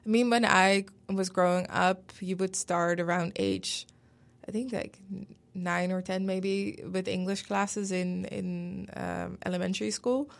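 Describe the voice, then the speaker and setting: A woman's voice: mid-range voice, steady tone, unhurried, creaky. A young Dutch woman, native Dutch speaker, talking as a guest in a casual interview, thoughtful and full of hedges. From Netherlands. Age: young adult. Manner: thoughtful, many fillers and hedges.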